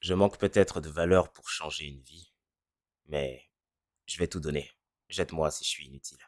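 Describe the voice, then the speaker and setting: A performer voicing a cynical, self-deprecating teenage boy in a French fan dub who speaks in a deadpan way. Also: extremely low pitch, moderate pace, dry recording. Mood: resigned. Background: faint music.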